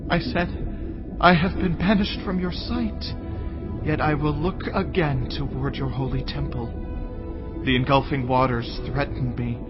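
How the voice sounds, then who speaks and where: An actor playing a fervent, anguished prophet in dramatized scripture, pleading and declaiming with passion. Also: male voice, a little high, lightly acted. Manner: impassioned, pleading, drawn-out declamation. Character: anguished, fervent prophet praying and protesting to God. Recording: dull band-limited audio under clear music.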